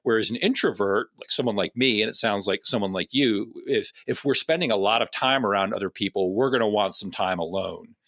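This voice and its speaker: A man's voice: lowish voice, fairly quick, few pauses, a bit bright, no echo. A man hosting a podcast as a coach, chatting casually, often restarting sentences and asking long rambling questions. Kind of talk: casual conversational interview.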